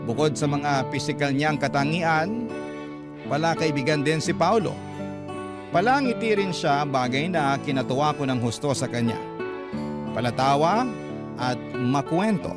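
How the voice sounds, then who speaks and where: A man's voice: fairly high pitch, a little slow, pauses often. A man reading a listener's love-story letter aloud in an earnest, dramatic storytelling manner. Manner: earnest storytelling, addresses the host. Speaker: letter reader. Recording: music bed under voice.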